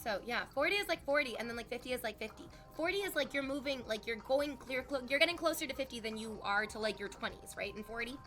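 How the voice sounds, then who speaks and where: A woman's voice: fairly high pitch, animated, fast, few pauses, a bit bright, soft background music. A woman in her thirties, a streamer chatting casually with her viewers, rambling frankly with lots of "like". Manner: rambling, frank, heavy use of "like". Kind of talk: casual chat with live chat.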